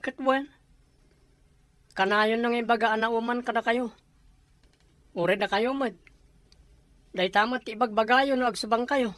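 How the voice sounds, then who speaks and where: A woman's voice: fairly high pitch, fairly even intonation, unhurried, long pauses, fairly bright tone, dry recording. A woman playing a questioning, chiding grown child in an Ilocano radio drama, with an Ilocano accent.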